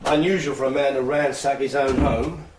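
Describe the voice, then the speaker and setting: An actor playing a shrewd, sober police inspector in a radio drama, matter-of-fact and probing. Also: male voice, mid-range voice, steady tone, normal speed, background noise, close to an everyday voice.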